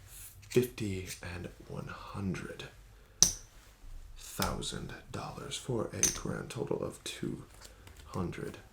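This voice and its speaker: Male ASMR roleplay dealer: low, slow, soft and coaxing, lively rise and fall. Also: very noisy. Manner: soft-spoken, often whispering; smooth, flattering, gently coaxing. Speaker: ASMR roleplay host playing a casino dealer.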